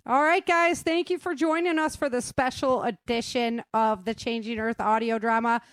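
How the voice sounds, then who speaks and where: A woman's voice: on the high side, fairly even intonation, moderate pace, smooth, dry recording, studio quality. Casual female podcast host. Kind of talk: casual interview chat.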